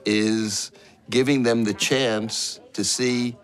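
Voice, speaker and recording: A man's voice: fairly low pitch, fairly even intonation, slow. A man speaking earnestly and emphatically about his school in an interview. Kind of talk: inspirational interview.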